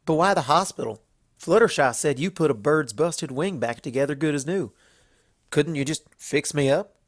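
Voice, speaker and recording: A man's voice: on the high side, expressive, normal speed. Man voicing a stubborn, folksy farm mare. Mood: teasing, curious. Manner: folksy country drawl phrasing, blunt. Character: stubborn plain-spoken young female farm pony. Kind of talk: storybook fan fiction character voice.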